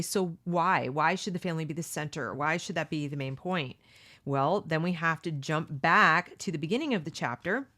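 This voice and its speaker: A middle-aged woman hosting a read-along book discussion, giving opinionated commentary and asking rhetorical questions. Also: a bit bright. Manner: mocks others with high exaggerated voices.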